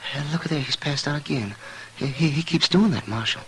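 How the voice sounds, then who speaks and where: A man's voice: on the high side, fairly quick, lightly acted. An actor playing a flustered, folksy frontier saloon man with a rural American accent in a radio western. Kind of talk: 1950s western radio drama acting. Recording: noisy old radio broadcast recording.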